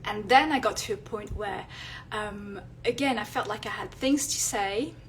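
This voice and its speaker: A woman with a French accent, French her first language, giving tips on a live video and pausing often with fillers and restarts. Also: medium pitch, slight background noise, rough recording. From France. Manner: hesitant, many fillers and restarts. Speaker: illustrator and vlogger. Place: indoors at home, near a street.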